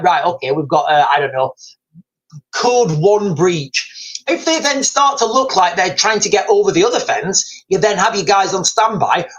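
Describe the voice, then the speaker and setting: A man's voice: high-pitched, low-quality recording. A middle-aged man hosting a live stream, talking casually and rambling to his viewers, repeating phrases and calling them guys. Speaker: livestream host.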